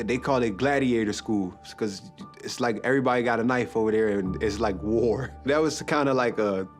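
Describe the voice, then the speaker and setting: A man from Washington, D.C., talking casually and rambling with many fillers in a documentary interview. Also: medium pitch. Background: music.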